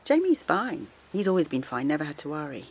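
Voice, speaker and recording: An actress playing a kindly middle-aged mother of two grown sons, chatty and reassuring, with a quiet worried edge. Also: sits low, big pitch swings, a little fast, gravelly, slight background noise, exaggerated voice. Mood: warm reassuring. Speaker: campaigner. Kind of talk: naturalistic audio drama acting. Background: faint outdoor seaside ambience.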